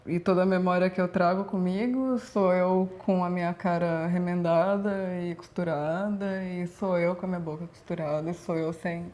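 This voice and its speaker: A woman in her twenties from Brazil, a performance artist speaking reflectively in a documentary interview, with measured, slow pauses. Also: fairly low pitch, fairly even intonation, a little mellow. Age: 20s.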